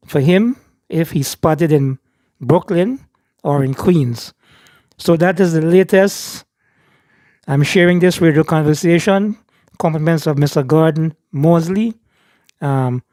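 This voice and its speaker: A man with a Guyanese accent giving casual news commentary, reading statements aloud with frequent pauses and fillers. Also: fairly high pitch, dark tone, dry recording. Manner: reads statements aloud, many ums.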